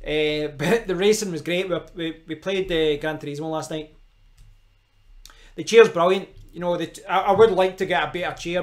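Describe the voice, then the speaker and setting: A man's voice: a little high, fairly bright tone. A young man with a strong Glaswegian Scottish accent, rambling casually to viewers on a live stream from his kitchen, with frequent restarts and asides. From Scotland. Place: kitchen at home.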